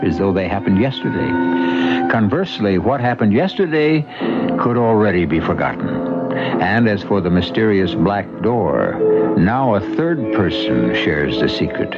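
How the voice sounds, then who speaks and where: A man hosting a radio drama with measured, portentous scripted narration. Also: sits low. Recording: dull lo-fi old radio broadcast. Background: loud music.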